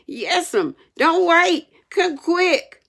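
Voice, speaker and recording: A woman's voice: extremely high pitch, big pitch swings, very slow, creaky, fairly bright tone, pitched above the performer's own voice, exaggerated voice. A woman voicing an anxious, pleading young boy in a storybook, urgent. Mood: alarmed, pleading. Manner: urgent, rustic dialect.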